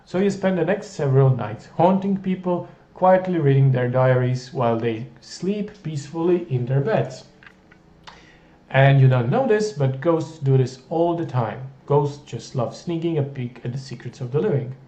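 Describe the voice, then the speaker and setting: A man reads a choose-your-own-adventure game aloud and keeps breaking in with dry, playful jokes. His voice is warm and soft-edged. It sits in a roomy space with a slightly muffled, lo-fi sound. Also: mid-range voice, lively intonation, normal speed. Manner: wry, playful, jokey. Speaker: gamer reading a text game aloud. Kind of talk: reading a text adventure aloud with comic asides.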